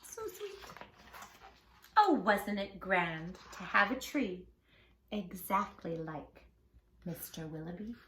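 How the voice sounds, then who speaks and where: A woman reading a children's story aloud in an expressive, affectionate way, speaking straight to young listeners. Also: medium pitch, slow, pauses often, roomy sound, a little hiss or hum. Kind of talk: animated children's read-aloud.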